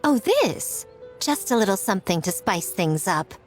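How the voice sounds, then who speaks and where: Actress as playful, theatrical shrine priestess for a game: animated mid-pitched voice, knowing, self-assured, faint music. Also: female voice, normal speed, natural voice. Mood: teasing. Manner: teasing, poised, theatrical. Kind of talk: video game voice acting.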